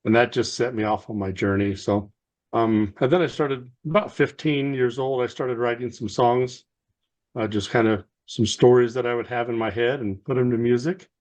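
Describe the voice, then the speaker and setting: Low-voiced, rambling middle-aged man. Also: normal speed, darkish tone, rough recording. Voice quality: lower voice. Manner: rambling storyteller, many fillers and restarts. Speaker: musician guest. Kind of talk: casual interview storytelling.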